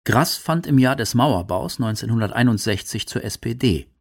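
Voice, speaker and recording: A man's voice: mid-range voice, animated, normal speed, vocal fry. A man narrating a scripted radio documentary. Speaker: documentary narrator and quote reader.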